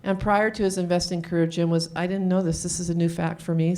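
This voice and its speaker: A woman hosting a live event, introducing speakers in an informal, chatty way and sometimes haltingly reading from notes. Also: sits low, dark tone. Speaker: event host introducing panelists. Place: live event room, on stage. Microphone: handheld microphone.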